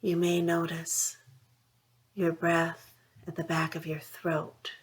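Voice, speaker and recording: Low-voiced woman guiding a meditation. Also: completely flat delivery, low-quality recording. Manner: unhurried, soothing, with long pauses between phrases. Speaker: mindfulness meditation guide. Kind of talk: guided meditation, gentle instruction.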